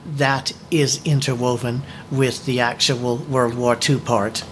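A man co-hosting a book discussion, explaining things to the group in a measured, informal presenting manner. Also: medium pitch, fairly even intonation, faint music behind.

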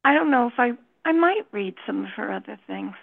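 A woman in a book group sharing her thoughts on a novel, slow and hesitant with many pauses. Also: on the high side, expressive, vocal fry. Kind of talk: casual discussion. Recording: tinny phone line.

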